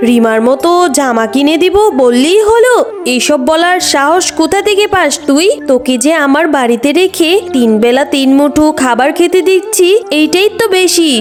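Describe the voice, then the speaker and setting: A woman voicing a harsh, domineering aunt in a children's cartoon story, scolding in a sharp-tongued, threatening way. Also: high voice, lively intonation, normal speed. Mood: angry, scolding. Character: harsh, scolding aunt bullying her orphaned niece. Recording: music behind the voice.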